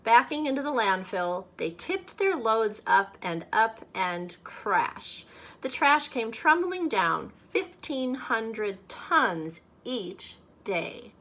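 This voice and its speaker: Slow-paced woman reading for children. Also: a little high, very animated, bright, forceful tone. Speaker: church children's leader reading a storybook. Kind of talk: children's read-aloud and friendly talk to kids.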